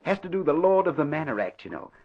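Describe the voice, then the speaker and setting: A man's voice: on the high side, sing-song, fast. A man playing a smarmy, teasing sweet-talker with theatrical flourishes in an old radio drama. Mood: mocking sweet-talk. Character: smarmy, mocking smooth-talking man sweet-talking his partner. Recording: dull vintage radio recording.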